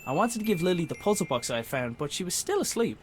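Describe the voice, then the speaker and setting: A man playing a wry young father in an adventure game, narrating with deadpan understatement. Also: a little high, fast talker, faint music behind. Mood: wry, measured. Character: wry young father searching for his missing dad.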